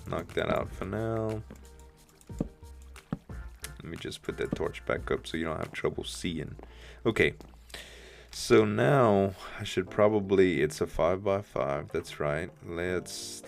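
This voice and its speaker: A man with a deep voice, a bit rough from the morning, casually thinking aloud while building in a game he hosts. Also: measured pace, soft background music. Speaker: let's play host. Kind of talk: casual gameplay commentary.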